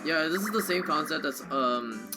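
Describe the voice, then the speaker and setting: A man's voice: on the high side, lively intonation, moderate pace. A man chatting casually as he plays a game, reacting in short muttered exclamations. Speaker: speedrunner playing the game. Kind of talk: casual chat while gaming. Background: game music behind the voice.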